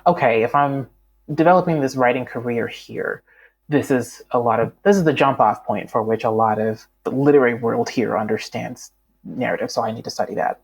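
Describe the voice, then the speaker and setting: A woman's voice: a bass voice, a little fast, gravelly, a little mellow, slight background noise. A woman from Canada, a writer talking as an interview guest, thoughtful and full of fillers and restarts. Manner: reflective, many fillers and restarts. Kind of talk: conversational interview.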